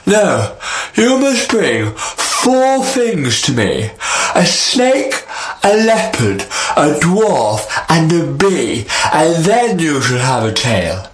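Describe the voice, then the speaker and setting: A man's voice: fairly high pitch, big pitch swings, takes it slowly, roomy sound, slight background noise, pitched above the performer's own voice, rough recording. A man voicing a lazy, yawning male sky king in a storybook tale, drawling and dismissive.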